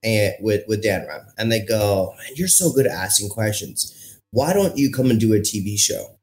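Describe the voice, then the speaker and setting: A man in his thirties from India, a podcast guest telling his story in an animated way, with emphatic phrasing and pace that keeps shifting. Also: fairly low pitch, normal speed. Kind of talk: storytelling interview answer.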